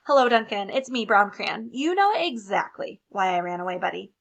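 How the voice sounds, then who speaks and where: A woman's voice: medium pitch, big pitch swings, normal speed, gravelly, fairly bright tone, highs cut off, exaggerated voice. A woman voicing an embarrassed, resentful brown crayon, accusing and sarcastic, in a storybook.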